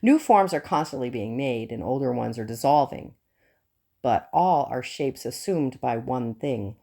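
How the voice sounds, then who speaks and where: A woman reads scripted nonfiction as an audiobook narrator. Her voice sits low for a woman, with a dark, relaxed tone. The delivery is formal, measured and earnest. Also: vocal fry. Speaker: audiobook reader.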